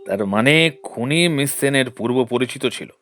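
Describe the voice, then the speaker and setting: An actor playing a shrewd, suspicious lawyer-detective in an audio drama, questioning in a measured, deliberate way. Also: male voice, medium pitch, expressive, fairly bright tone, a little hiss or hum, natural voice. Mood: pondering.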